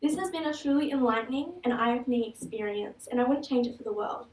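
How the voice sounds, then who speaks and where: A woman's voice: a little high, fast, a little mellow. Australian teenage girl, student speaker, earnest with small slips; roomy hall sound. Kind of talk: prepared stage talk. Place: large auditorium stage. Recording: echoey hall, heard from far off.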